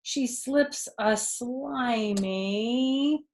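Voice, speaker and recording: A woman's voice: fairly high pitch, very slow, background noise. A woman in her fifties, a grandmother reading aloud to children expressively, with simple asides and questions. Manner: expressive, explains words simply, asks listeners questions. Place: living room, indoors.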